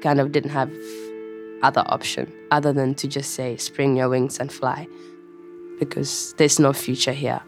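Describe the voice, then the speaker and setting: Young woman, Somali accent, interview guest, casual storytelling, informal halting phrasing, faint music behind. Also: a bass voice, little pitch movement. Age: young adult. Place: studio.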